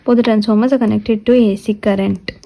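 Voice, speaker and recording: A woman giving a step-by-step technical lecture, restating her points deliberately as she explains. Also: medium pitch, fairly even intonation, warm tone. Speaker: physiotherapy lecturer.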